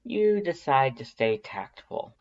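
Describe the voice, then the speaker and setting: A narrator reading fan fiction aloud in an expressive, scripted storytelling style. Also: mid-range voice, slow, lo-fi sound.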